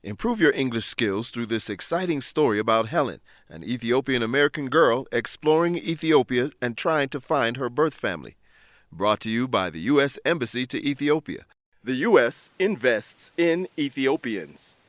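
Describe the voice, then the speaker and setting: A male narrator with a mid-pitched voice reads scripted lines for English learners in a slow, clear, measured way. The sound is close and dry with no room behind it. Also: expressive, no room sound. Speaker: narrator and announcer.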